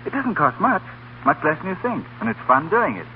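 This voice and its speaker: An actor playing a cheerful, cheeky young doctor in a 1930s radio drama, heard on a scratchy old broadcast. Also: male voice, fairly high pitch, very animated, fairly quick, phone-quality sound. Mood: breezy, cheerful.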